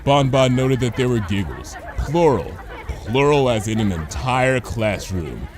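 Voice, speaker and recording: A man reading a scripted comedic story as narrator, in an expressive storytelling manner. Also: mid-range voice, a little slow, a crowd in the background.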